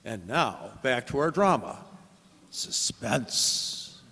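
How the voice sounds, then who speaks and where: Man doing lively old-time radio announcer. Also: slow, vocal fry, breathy edge, heavily acted. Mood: dramatic. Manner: theatrical sales pitch. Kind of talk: 1940s radio advertisement parody. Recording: echoey hall reverb.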